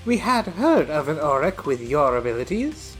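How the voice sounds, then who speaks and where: A man's voice: fairly high pitch, big pitch swings, normal speed, soft background music. A man voicing a stern, haughty female battle mage in a fantasy audio story, commanding and condescending. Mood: cool, assessing.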